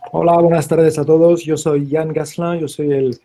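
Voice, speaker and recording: A man from France speaking Spanish with a French accent, introducing himself as a company founder on a webinar panel, polite and a little halting. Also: on the high side, level tone, mellow.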